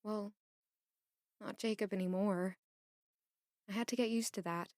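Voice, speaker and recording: A woman's voice: medium pitch, fairly even intonation, unhurried, darkish tone, no echo, clean studio sound, natural voice. A young woman acting an earnest, hesitant palace maid who is lovestruck and unsure in a fantasy audio drama. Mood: wistful, introspective.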